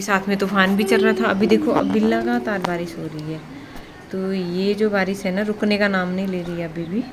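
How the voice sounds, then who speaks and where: A mother from Himachal Pradesh chats through a home vlog at an easy pace. She talks plainly and sometimes scolds her kids. Household noise hums clearly under her voice. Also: medium pitch. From Himachal Pradesh, India. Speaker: vlogger, mother. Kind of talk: casual home vlog chat. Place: home indoors. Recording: noisy home recording.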